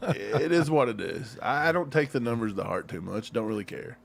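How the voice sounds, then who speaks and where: A man's voice: mid-range voice, animated, a little fast, vocal fry. A man co-hosting a casual live sports podcast stream, making dry, offhand jokes. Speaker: podcast co-host.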